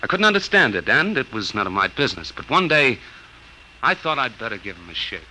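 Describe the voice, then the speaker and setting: An actor playing an earnest, polite young American psychology instructor in an old-time radio drama. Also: male voice, medium pitch, sing-song, fairly quick, sharp tone, rough recording. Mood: narrating, matter-of-fact. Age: young adult. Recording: vintage radio recording with hiss.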